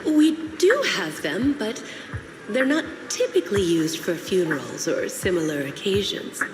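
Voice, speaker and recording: A woman playing a courteous, helpful flower shopkeeper in a video game, speaking in a polite, gentle, explanatory way. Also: mid-range voice, very animated, unhurried, noisy background. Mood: polite, cautious. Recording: roomy sound with clear music behind.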